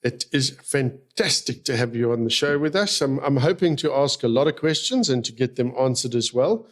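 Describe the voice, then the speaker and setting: A man's voice: mid-range voice, expressive, normal speed. Hesitant male podcast host. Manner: hesitant, many fillers. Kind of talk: interview hosting.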